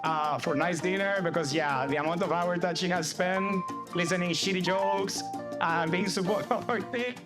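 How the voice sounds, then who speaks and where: Chilean guy w spanish accent chatting casually in interview, voice a bit high, music under it. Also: moderate pace. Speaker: comedian. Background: background music.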